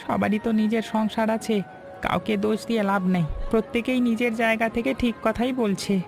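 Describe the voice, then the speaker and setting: This is a poor village husband and father played by an actor in a cartoon tale. The voice is heavy with fry and has a humble, apologetic, pleading manner. Music plays faintly behind it. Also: mid-range voice, flat delivery, fairly quick, darkish tone, lightly acted. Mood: resigned, ashamed. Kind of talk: cartoon story dialogue.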